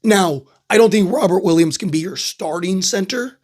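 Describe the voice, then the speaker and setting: Guy with a scratchy sick voice, kinda high, rambling about basketball trades, lots of "right?". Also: normal speed, a little mellow. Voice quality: frequent "right?" tags and filler words. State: hoarse, losing his voice from a cold. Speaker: basketball podcast host. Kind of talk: casual solo sports commentary.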